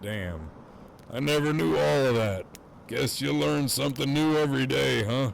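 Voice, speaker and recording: A man's voice: medium pitch, moderate pace, creaky, a little hiss or hum. A man playing a cocky, crude prisoner who teases in wry, swaggering banter in an audio drama. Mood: wry, casual. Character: cocky, crude, teasing imprisoned man on a walkie-talkie. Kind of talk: fan audio drama acting.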